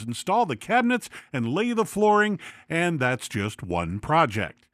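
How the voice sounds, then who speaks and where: Male podcast presenter, scripted read, mid-pitched with animated delivery and dry, close sound. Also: no echo.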